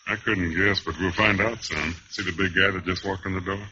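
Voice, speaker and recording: A man's voice: deep voice, fairly even intonation, fairly quick, bright, forceful tone, slightly rough, heavily acted. A man playing a nervous, wary speakeasy piano player in a radio crime drama, jittery and confiding. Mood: uneasy. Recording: dull, noisy old radio recording.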